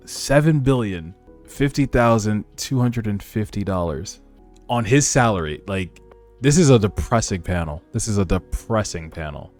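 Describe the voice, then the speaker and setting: Deep-voiced man casually reacting, music behind. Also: expressive, unhurried, darkish tone. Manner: slangy, informal, swearing. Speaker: streamer reading a webtoon aloud and reacting. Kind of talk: casual reaction commentary with read-aloud dialogue. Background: background music.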